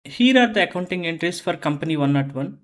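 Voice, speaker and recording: An instructor giving a methodical, step-by-step explanatory lesson on accounting software, often repeating phrases while explaining. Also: mid-range voice, lively intonation, fast.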